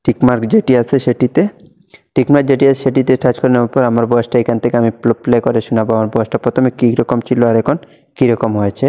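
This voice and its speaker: A young man talking quickly through a phone app tutorial, narrating every tap and repeating each step. His voice is mellow and dark, sitting at an ordinary pitch. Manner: steady step-by-step instructions, much repetition. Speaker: tutorial host. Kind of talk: screen-recorded app tutorial.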